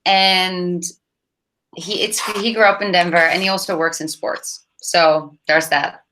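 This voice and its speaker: Bright-voiced low-pitched Dutch woman. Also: steady tone, unhurried, slight background noise, poor audio. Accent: light Dutch-tinged English with British touches. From Netherlands. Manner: chatty, self-deprecating, lots of fillers like "um" and "like". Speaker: startup founder and guest. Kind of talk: casual interview chat. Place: home office, indoors.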